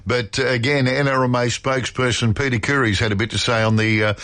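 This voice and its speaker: A man's voice: medium pitch, fairly even intonation, fast, fairly bright tone, dry recording. An Australian man presenting a radio talk show in a conversational, slightly hesitant way. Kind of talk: talkback radio presenting, reading listener messages. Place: radio studio. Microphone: close studio microphone.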